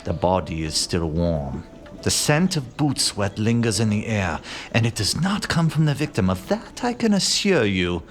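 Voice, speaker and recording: An actor playing an aloof, pompous gentleman detective in a radio play, speaking in measured, theatrical phrases. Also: fairly low pitch, very animated. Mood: haughty. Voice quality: haughty, measured, theatrical pauses. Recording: faint music behind.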